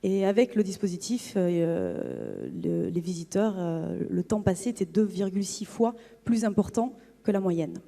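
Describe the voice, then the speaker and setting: A woman's voice: on the low side, big pitch swings, unhurried, gravelly, dark tone. A woman presenting results as a marketing manager at a conference, explaining hesitantly and repeating words as she goes. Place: conference hall on stage. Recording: reverberant hall sound.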